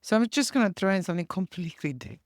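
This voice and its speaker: A non-native English speaker from Serbia with a Serbian accent, chatting casually as a guest in a lively, self-deprecating way. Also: mid-range voice, racing, no room sound, studio quality. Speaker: operations team member, guest. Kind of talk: casual conversation.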